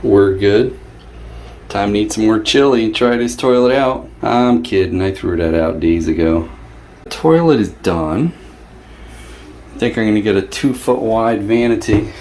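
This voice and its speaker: A man's voice: lowish voice, darkish tone. A man casually narrating his hands-on repairs in a wry, joking way. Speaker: host, DIY repair vlogger. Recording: clear background noise.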